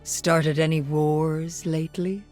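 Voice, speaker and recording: A woman playing a coolly curious, superior scientist in a video game, measured and probing. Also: deep voice, fairly even intonation, darkish tone, faint music behind. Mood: cool curiosity.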